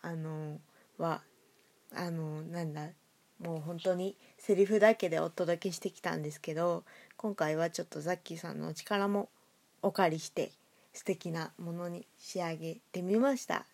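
A woman's voice: lowish voice, steady tone, normal speed, creaky, a little mellow. A woman hosting an audio drama show, chatting casually and chattily with a guest. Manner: chatty, frequent backchannels.

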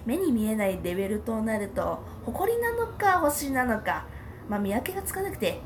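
A high, very animated schoolgirl voice acted by a woman, cheerful and sure of herself. She talks casually, like an excitable young magic student in an amateur audio drama. There is faint noise in the recording. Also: normal speed. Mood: sheepish.

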